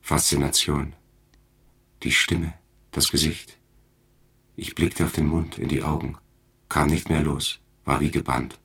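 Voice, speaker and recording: An actor playing an entranced man in a radio play, speaking haltingly in a husky voice. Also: a bass voice, level tone, a bit bright, full character voice. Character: spellbound man recalling a captivating singer. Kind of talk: German radio drama acting.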